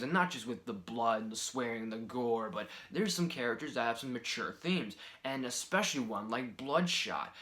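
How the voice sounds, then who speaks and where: Soft-spoken man ranting about films. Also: mid-range voice. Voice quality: soft, near-whispered delivery. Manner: exasperated, rambling, many fillers. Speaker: movie reviewer. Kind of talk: casual ranking commentary.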